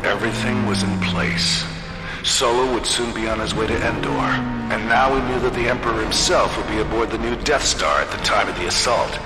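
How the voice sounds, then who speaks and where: Man voicing grave war veteran, echoing over loud music. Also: fairly low pitch, lightly acted. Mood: tense, grave. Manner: grave, measured storytelling. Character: battle-weary male rebel squadron veteran recounting his war. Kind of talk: scripted dramatic narration in a war game. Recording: echoing hall reverb, loud music and battle sounds.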